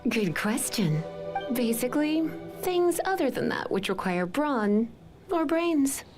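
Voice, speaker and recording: A languid, coy female librarian mage in a video game. Her high voice swoops expressively with a teasing, breathy touch, and music sits clearly behind it. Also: sing-song, takes it slowly, a little mellow, exaggerated voice. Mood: flirty.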